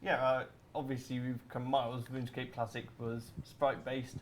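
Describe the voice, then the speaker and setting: A man's voice: mid-range voice, flat delivery, normal speed, a little hiss or hum. A man chatting informally as a game artist on a panel, reminiscing and explaining. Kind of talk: casual reminiscing chat.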